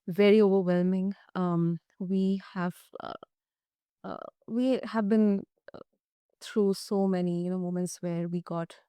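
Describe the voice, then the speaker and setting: Smooth, clear-voiced woman hosting a podcast. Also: lowish voice, steady tone, measured pace, warm tone, no echo. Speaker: podcast host. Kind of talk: conversational interview hosting.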